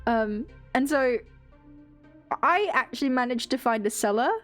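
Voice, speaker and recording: A woman's voice: on the high side, measured pace, a bit bright, faint music behind. A young British woman streamer, rambling and laughing through casual chat and swearing freely.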